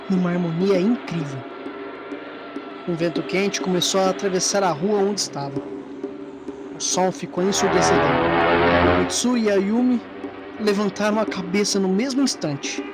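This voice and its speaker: A woman narrator reading a scripted story in a dramatic storytelling style. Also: lowish voice. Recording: music under the voice. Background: background music.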